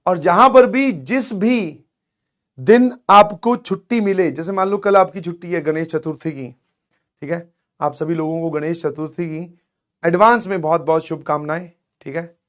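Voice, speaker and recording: A man with a high, hoarse, strained voice speaks as a coaching teacher to his students. He mixes phrases his talk. He coaxes them along, often says please and keeps checking that they follow. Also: moderate pace, a bit bright. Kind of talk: motivational advice to students in an online class.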